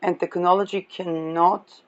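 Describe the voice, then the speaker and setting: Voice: medium pitch, little pitch movement, measured pace, dull audio. A person giving a casual, rambling astrology talk, chuckling often between remarks. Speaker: astrology reader.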